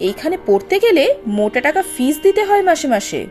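An arrogant young college girl in a cartoon. Her high voice swoops dramatically from note to note. She is scornful and spiteful, with light music underneath. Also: big pitch swings, a little fast, darkish tone. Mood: sneering. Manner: sneering, scornful. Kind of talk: Bengali animated story dialogue.

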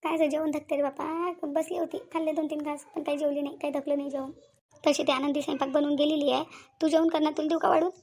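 A woman's voice: high-pitched, level tone, fast, darkish tone. A woman voicing a fretful middle-aged mother in a cartoon family drama, pleading plaintively in a Varhadi Marathi accent. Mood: weary complaining. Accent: Varhadi Marathi from Vidarbha.

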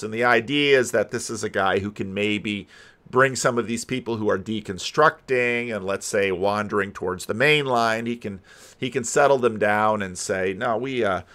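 An older man giving casual, rambling commentary as a host, halting and thinking aloud. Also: lowish voice, a bit bright. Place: home room lined with books. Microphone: desk microphone, close, with headphones.

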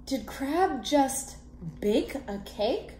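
A woman in her twenties reading a children's picture book aloud expressively, in a deliberate, animated way with questions for young listeners. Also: high voice. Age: 20s. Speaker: read-aloud storyteller for children. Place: small indoor room at a table. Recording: echoey room, background noise with clicks.

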